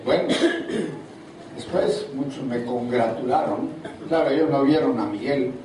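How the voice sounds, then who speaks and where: A man's voice: medium pitch, a little slow. An older man preaching at a church seminar, asking the congregation questions as he goes. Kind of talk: seminar sermon. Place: large hall at a church seminar. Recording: echoey hall from far off, audible noise.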